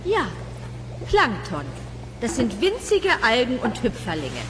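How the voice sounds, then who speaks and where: A woman playing a brisk, patient aquarium keeper in a children's audio play, dry and instructive. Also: on the high side, wildly swooping pitch, exaggerated voice. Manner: dry, matter-of-fact, instructive. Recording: clear background ambience and noise.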